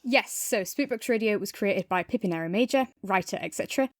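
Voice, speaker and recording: A woman with a medium-pitched voice co-hosts a review podcast. She speaks conversationally and with clear enthusiasm. The sound is very dry, with no room echo around her. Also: expressive, fast. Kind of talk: casual review chat, some reading aloud.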